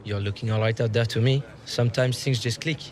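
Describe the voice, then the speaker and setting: A self-assured male footballer, played by an actor, with a mid-pitched voice. He is easygoing and friendly, teasing his teammate as in a video game cutscene. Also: steady tone, fairly quick, close to an everyday voice.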